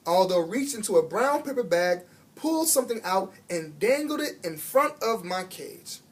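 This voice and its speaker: American man, storybook narrator, expressive, dramatic, emphatic, reading aloud to children. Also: sits high, moderate pace. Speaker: children's book reader.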